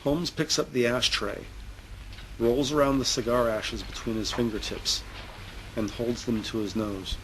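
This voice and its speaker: A man's voice: mid-range voice, fairly even intonation, unhurried, a little hiss or hum, low-quality recording. A man narrating an audio drama mystery in a dramatic, scripted style.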